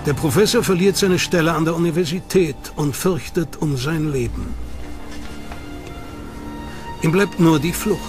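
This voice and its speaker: A male documentary narrator reads his script in a measured, dramatic storytelling manner. His voice is on the high side for a man, and a music bed plays clearly behind him.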